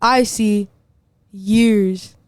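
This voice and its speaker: A young woman singer with a deep voice, talking bluntly and defiantly with a lot of slang in a casual podcast chat. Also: fairly even intonation, drawn-out words, darkish tone, smooth, no echo. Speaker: singer and guest.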